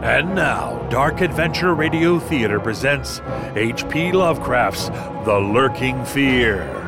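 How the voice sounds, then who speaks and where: A man's voice: medium pitch, expressive, takes it slowly, over loud music. An actor playing an upbeat old-time radio commercial announcer with salesman patter. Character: slick male radio ad announcer.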